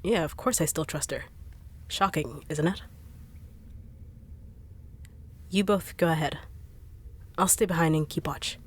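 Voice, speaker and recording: A woman voicing a fast-talking, no-nonsense, teasing bodyguard in an audio roleplay. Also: sits low, slightly rough. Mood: wary. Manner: dry, teasing, blunt. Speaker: voice actor.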